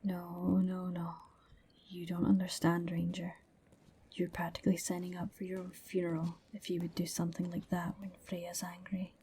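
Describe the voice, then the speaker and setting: A woman's voice: fairly low pitch, monotone, normal speed, warm tone, a little hiss or hum, close to an everyday voice. A woman playing a blunt, dry huntress goddess in an ASMR roleplay audio drama. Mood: warning. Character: blunt, dry Norse huntress goddess, enthusiastic about weapons.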